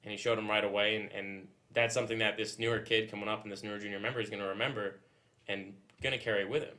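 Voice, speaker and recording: A man's voice: lowish voice, fairly even intonation, fast talker, bright, forceful tone. A man talking casually, telling an anecdote in a podcast discussion.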